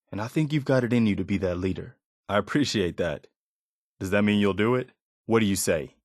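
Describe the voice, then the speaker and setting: Guy acting as earnest militia leader in a video game, lowish voice, super dry sound, steady believer type. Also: no room sound, close to an everyday voice. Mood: hopeful, persuasive. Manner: earnest, resolute. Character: earnest, dutiful male militia leader rallying settlers. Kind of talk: video game character dialogue.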